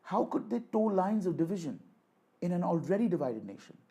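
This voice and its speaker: A man with a husky, mellow voice pitched a little high delivers a political speech. He is declamatory and deliberate, framing points as rhetorical address and leaning on repetition for emphasis. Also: sing-song. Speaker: orator reading a voice sample. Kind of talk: rhetorical political speech, rehearsed with repeated takes.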